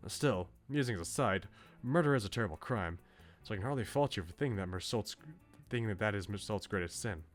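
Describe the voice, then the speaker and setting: A man's voice: lowish voice, expressive, a little fast, lightly acted. A man reading aloud a visual novel's bookish male student, articulate, philosophical and politely opinionated. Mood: musing, conceding. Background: music.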